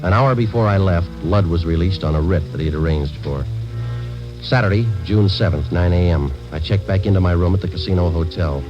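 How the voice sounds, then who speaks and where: A dull vintage radio recording of an American actor as a deadpan undercover police sergeant. His voice sits very low and he rattles out facts quickly, weary and businesslike, in clipped radio-drama narration. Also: natural voice. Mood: flat, matter-of-fact. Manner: deadpan, matter-of-fact. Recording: dull old radio recording, music behind.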